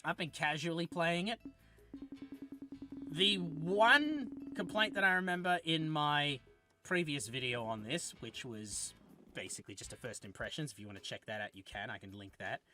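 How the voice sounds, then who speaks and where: A man talks through a game review in a loose, chatty way, circling back to fix his own words. His voice sits a little high and rings bright and forceful. Soft game music plays underneath. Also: lively intonation, moderate pace. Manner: rambling and chatty, with frequent self-corrections. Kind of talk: casual game review commentary.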